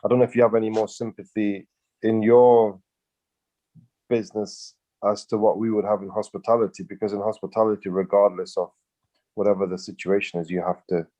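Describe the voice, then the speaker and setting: A man's voice: on the low side, level tone, fairly quick, warm tone, poor audio. A man co-hosting a casual business chat, rambling through his points with many fillers and restarts. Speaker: co-host.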